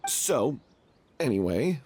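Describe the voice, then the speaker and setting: A man's voice: mid-range voice, big pitch swings, slow, a little mellow, a little hiss or hum. A man playing a troubled, evasive stage actor in a video game, speaking in a guarded, weary way. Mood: weary, resigned. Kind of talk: video game dialogue acting.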